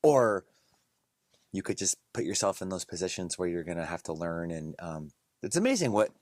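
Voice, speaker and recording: A fairly low-voiced man hosts a casual podcast chat and wanders through his thoughts with many restarts. The sound is dry and close, with no room around it. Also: moderate pace, no echo. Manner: relaxed, rambling with frequent restarts. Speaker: podcast host. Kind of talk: casual conversation.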